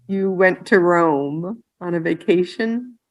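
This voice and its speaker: A woman's voice: on the low side, slow, muffled recording. A woman hosting an interview, playful and echoing the guest's jokes. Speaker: interview host. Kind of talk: casual interview.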